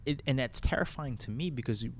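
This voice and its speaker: A man on a casual discussion panel, talking haltingly and repeating words while he thinks. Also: mid-range voice, a little hiss or hum. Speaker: talk show panelist. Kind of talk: casual panel chat about games and films.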